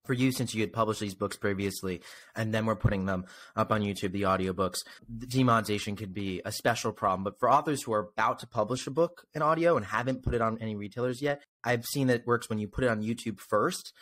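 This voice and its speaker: A man's voice: on the low side, quick pace, fairly bright tone. A young man interviewing a guest casually as a podcast host, speaking close to a desk microphone on a video call from home. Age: young adult.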